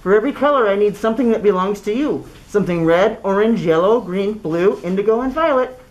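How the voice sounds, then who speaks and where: A tricky little leprechaun man from a children's storybook, played by a woman. He coaxes and pleads in a quaint, theatrical way. His pitch swings freely up and down. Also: expressive, a little fast, darkish tone, natural voice, rough recording. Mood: coaxing.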